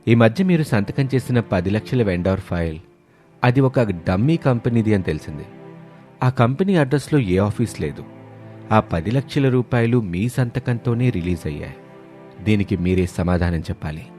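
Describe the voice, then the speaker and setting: A man's voice: lowish voice, expressive, normal speed, mellow, soft background music, voice pushed down, close to an everyday voice. A man voicing a grave, stern office auditor, formal and accusing, in a moral story. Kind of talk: animated moral story dialogue.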